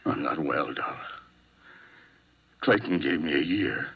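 A man's voice: a bass voice, unhurried, breathy edge, exaggerated voice. An actor playing a hot-tempered, desperate jealous husband hunted as a murder suspect in a 1950s radio drama, volatile in his delivery. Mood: subdued, bitter. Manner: volatile, swinging from menacing outbursts to broken murmurs. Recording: dull, band-limited old 1950s radio recording.

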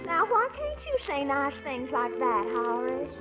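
A vain, flirtatious lady, speaks very high, with animated swoops and playful drawn-out words. It is vintage radio comedy, heard tinny and thin with music behind. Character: flirtatious, vain Southern lady being courted. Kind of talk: old-time radio comedy acting.

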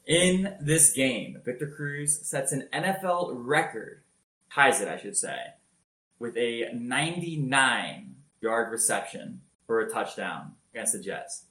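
A man telling football highlights in a casual podcast chat, energetic and loud-voiced. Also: slow, reverberant. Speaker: podcast co-host. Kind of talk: casual sports recap.

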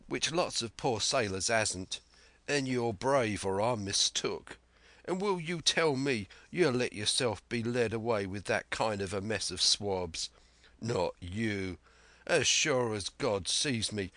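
A man voicing an honest young sailor with a hoarse, shaking voice who speaks earnestly, for a storybook reading. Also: on the low side, fairly bright tone, dry recording. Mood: pleading. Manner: earnest, pleading then defiant.

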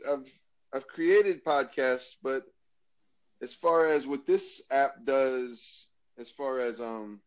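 An American man from Maryland, a guest in a casual interview, talking in a rambling, halting way. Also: medium pitch. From Maryland, USA. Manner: rambling, halting, lots of fillers and restarts.

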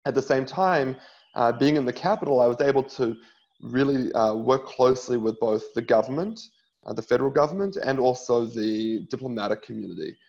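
A man in his thirties from New Zealand, a rabbi telling his life story as an interview guest in an earnest way, often pausing on uh. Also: medium pitch, a little mellow, dull audio. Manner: earnest, many filler uhs, restarts.

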